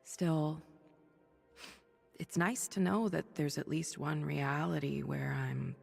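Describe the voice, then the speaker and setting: A woman voicing a weary, noble superhero thunder goddess for a video game, confiding in measured, breathy speech. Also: deep voice, a little mellow, long reverb tail, faint music behind, heavily acted. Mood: reflective. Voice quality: breathy, husky. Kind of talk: video game voice acting, dramatic dialogue and audio-log monologue.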